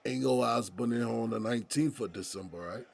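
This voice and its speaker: An American man playing a streetwise, seasoned inmate in an audio drama, with a husky voice and folksy, slangy talk. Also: medium pitch, a little fast. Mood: probing, insistent. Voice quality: husky, gravelly. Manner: folksy street slang, probing rhetorical questions. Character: streetwise, seasoned male prison inmate mentoring a younger friend.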